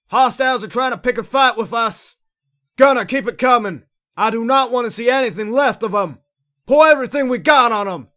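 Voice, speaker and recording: A man voicing a gung-ho military vehicle crewman for game unit lines, in a clipped, brisk delivery. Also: high-pitched, a bit bright. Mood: urgent shouting.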